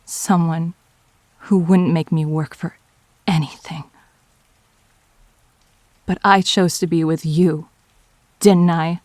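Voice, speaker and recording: A woman's voice: lowish voice, fairly even intonation, slow pace, pauses often, a little mellow, no echo. A woman playing a haughty, defiant girlfriend in an emotional roleplay argument, scornful and confrontational. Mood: indignant, scornful.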